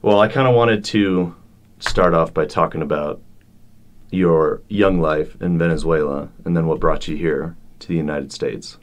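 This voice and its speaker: A man hosting a podcast, asking relaxed, casual questions. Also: extremely low pitch, unhurried. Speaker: podcast host.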